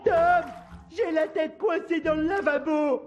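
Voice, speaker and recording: A man's voice: very high voice, steady tone, unhurried, music in the background. A man voicing a dim, whiny, apologetic young male dog in a French cartoon dub, plaintive and muffled. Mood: pleading. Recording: dull, muffled, noisy sound.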